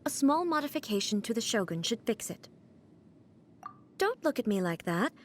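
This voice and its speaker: A woman voicing an aloof goddess ruler in a game cutscene, speaking in a calm, measured, formal way. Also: a little high, slight background noise. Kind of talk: video game cutscene voice acting. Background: faint game music.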